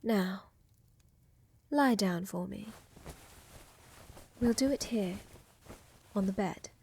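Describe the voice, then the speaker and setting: A woman playing a seductive, tender vampire countess in a soft, intimate roleplay ASMR style, often dropping to a whisper, with a teasing, assured manner and formal wording. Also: mid-range voice, takes it slowly, a little hiss or hum. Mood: tender, reassuring. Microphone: close microphone, dry.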